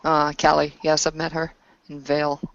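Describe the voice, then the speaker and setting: A woman playing a battle-hardened, solemn werewolf warrior back from exile in a tabletop roleplaying game, telling her story deliberately. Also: sits low, monotone, no room sound, highs cut off. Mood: measured, curious.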